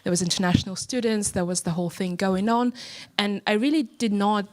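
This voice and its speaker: Hesitant Russian woman, reflective. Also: medium pitch. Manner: hesitant, reflective, with many pauses and fillers. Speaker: audience member. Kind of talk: sharing a personal story at a panel event.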